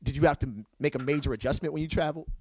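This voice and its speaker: A man's voice: fairly high pitch, racing, a little mellow, a little hiss or hum. A man hosting a casual conversation, easygoing, laughing along and asking loose, rambling questions. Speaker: podcast host.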